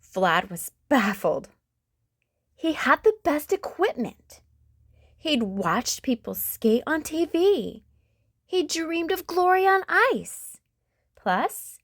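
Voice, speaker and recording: Expressive woman reading a kids' story. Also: medium pitch, no room sound, clean studio sound. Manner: expressive, sing-song storytelling. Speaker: children's storytime host. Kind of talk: reading a picture book aloud to kids.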